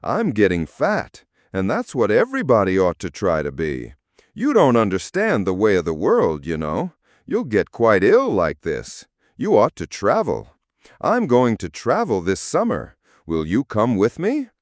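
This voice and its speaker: A man plays a living shadow who has become a pompous gentleman in a storybook tale. He boasts and condescends with hugely animated pitch, lingering over his words in a very dry, close recording. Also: measured pace, no echo. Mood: breezy, condescending. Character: smug, self-important living shadow turned wealthy gentleman.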